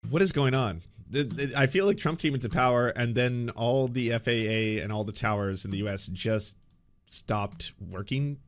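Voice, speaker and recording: A man's voice: medium pitch, moderate pace. A man hosting a sarcastic reaction commentary. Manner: sarcastic, mocking. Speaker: commentary host.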